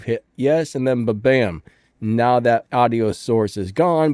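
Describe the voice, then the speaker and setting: A man's voice: medium pitch, warm tone, dry recording, clean studio sound. A man hosting a casual tech tutorial, upbeat and chatty, with stuffed-up sinuses, recorded at home with a fan running. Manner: upbeat, chatty, says "ba-bam".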